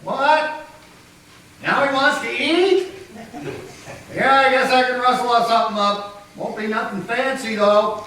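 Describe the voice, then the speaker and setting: A man's voice: very high voice, unhurried, pauses often, bright, forceful tone, heavily acted. A man playing a jittery, plainspoken deputy. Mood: incredulous. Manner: plainspoken, nervous. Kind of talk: radio play acting. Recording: echoey room from a distance, faint noise.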